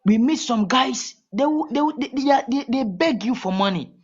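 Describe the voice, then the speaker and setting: A man from Ghana with a Ghanaian accent, talking as a guest in a casual call-in interview, halting and repeating his words. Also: very high-pitched, animated, breathy edge. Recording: muffled lo-fi call audio.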